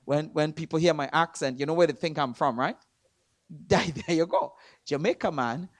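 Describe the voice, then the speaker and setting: A man from Trinidad with a Trinidadian accent, preaching in a church. He stresses words hard, repeats himself and swings between loud and soft. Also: a little high, normal speed, no echo. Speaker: guest preacher. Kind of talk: sermon. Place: church sanctuary.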